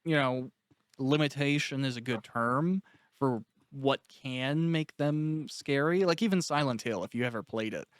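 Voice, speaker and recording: A man's voice: medium pitch, unhurried, no echo. A man hosting a casual, conversational interview as he chats with his guest. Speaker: interviewer, host of an audiobook cast interview.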